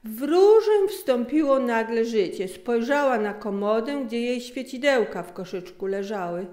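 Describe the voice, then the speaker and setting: A middle-aged woman narrating a scripted story in an unhurried, expressive manner. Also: fairly high pitch. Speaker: author and narrator. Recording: roomy, echoing sound.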